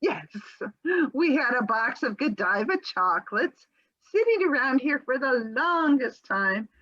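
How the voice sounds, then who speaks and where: Woman as high, fluttery, evasive housewife. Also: very animated, measured pace, fairly bright tone, highs cut off, heavily acted. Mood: flustered. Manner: over-polite, theatrical. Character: fluttery, evasive, genteel housewife and murder suspect. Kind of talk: comic readers theater scene.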